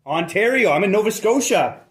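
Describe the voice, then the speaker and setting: A man's voice: high voice, lively intonation, fairly quick. Man, middle-aged, upbeat, rambling, roomy echo. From Canada. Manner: rambling, upbeat, self-correcting. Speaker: channel host. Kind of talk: casual livestream chat. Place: indoors near a fireplace. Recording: roomy echo, mic far off.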